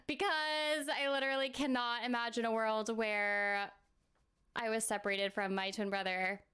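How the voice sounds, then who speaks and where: Gushing high-voiced woman game streamer. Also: pushed and bright. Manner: effusive, gushing, exclaims and laughs often. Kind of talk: emotional live reaction while gaming.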